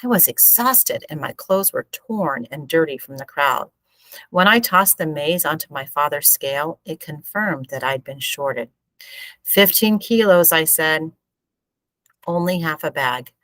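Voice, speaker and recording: A low-voiced woman reads a story aloud in a measured, expressive narrating style. The sound is close and dry, with very little room around it. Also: no echo, rough recording. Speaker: teacher reading a book aloud.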